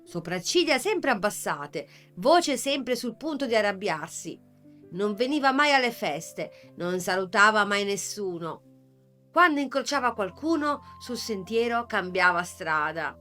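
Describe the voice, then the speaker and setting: A woman narrating a children's storybook in a gentle, measured voice at a mid pitch. She leans into pauses to build quiet suspense. Soft music plays underneath.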